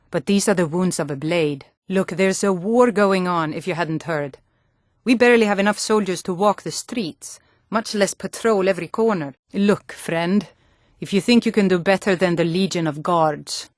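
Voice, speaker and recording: A woman acting a low, blunt fantasy guardswoman. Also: no room sound, clean studio sound, natural voice. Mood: grim. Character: stern, world-weary female Nord city guard and townswoman. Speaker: video game voice actor. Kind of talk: fantasy game dialogue.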